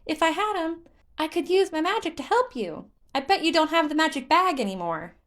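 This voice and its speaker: A woman voicing an excitable, bubbly, childlike tree spirit who exclaims in a sing-song way in a fantasy story reading. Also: high-pitched, a little fast, pitched above the performer's own voice. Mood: sad, pouting.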